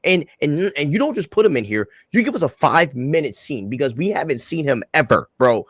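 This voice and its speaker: Young dude rambling on a podcast, lots of likes and bros, dry mic. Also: male voice, on the high side, big pitch swings, a little fast, a bit bright, slightly rough, no room sound. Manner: rambling, heavy slang and filler, emphatic. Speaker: podcast co-host. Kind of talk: casual podcast chat.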